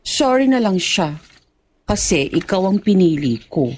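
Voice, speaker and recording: A tender young girlfriend in an audio drama. Her mid-pitched voice is soft-edged and warm, and she speaks earnestly, protective and gently chiding. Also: sing-song, measured pace. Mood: affectionate chiding. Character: devoted young sweetheart, tender, protective, a little scornful. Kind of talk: radio drama acting.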